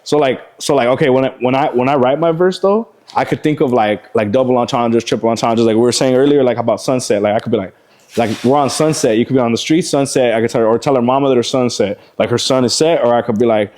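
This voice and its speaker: A man's voice: mid-range voice, quick pace, a little mellow. A man, a rapper, talking casually in an interview, slangy and full of "like" and "you know what I mean.". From Southern California. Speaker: rapper guest.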